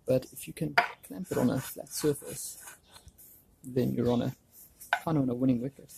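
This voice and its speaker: A man's voice: medium pitch, steady tone, moderate pace, vocal fry. A man giving casual hands-on workshop commentary, informally thinking aloud as he works. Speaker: DIY video presenter. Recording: background noise, clicks and handling sounds.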